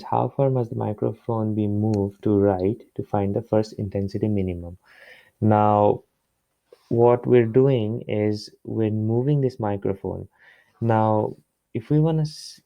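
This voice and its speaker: A man working through a problem step by step as a tutor, in a halting way with pauses and repeats. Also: fairly low pitch, creaky, mellow, no room sound. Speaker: physics tutor. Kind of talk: explaining a worked problem step by step. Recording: dull, muffled lo-fi close recording.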